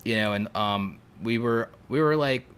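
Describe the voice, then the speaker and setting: A man chatting casually as a podcast co-host, telling stories in an animated, geeky, emphatic and joking way. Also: medium pitch, normal speed, smooth. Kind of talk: casual chat.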